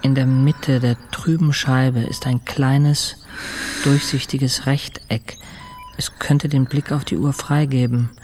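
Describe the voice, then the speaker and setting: An actor playing a middle-aged intensive-care patient, narrating his ordeal soberly in terse, deliberate phrases for a radio drama. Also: medium pitch, flat delivery, darkish tone, a little hiss or hum, natural voice. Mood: weary, sober.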